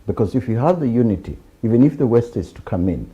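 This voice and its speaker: Ugandan man, middle-aged studio talk host, dark and husky, presses his point. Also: expressive, fast talker. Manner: gestures and pushes back, gets louder and higher when pressing a point. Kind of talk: studio discussion.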